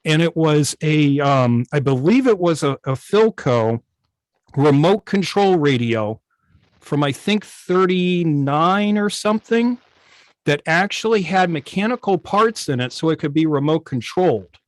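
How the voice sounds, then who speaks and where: A man speaking as a guest on a casual video call, telling halting anecdotes like a keen hobbyist. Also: on the high side, lively intonation, dry recording. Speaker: guest, old-time radio station operator. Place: indoors at home on a video call.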